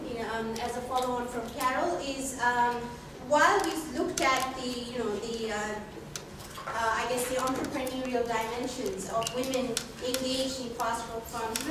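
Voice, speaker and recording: A woman from Bangladesh, a researcher presenting at a conference, speaking in a measured way with many ums and uhs. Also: on the high side. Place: conference hall. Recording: echoey hall from far off, noisy.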